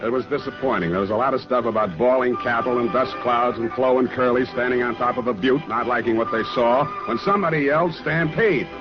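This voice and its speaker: An actor playing a tough, cynical private detective in a wry, clipped 1940s radio style. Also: male voice, medium pitch, fairly quick, few pauses, close to an everyday voice. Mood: dry narrating. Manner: wry, clipped, hard-boiled. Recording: dull 1940s radio recording with music.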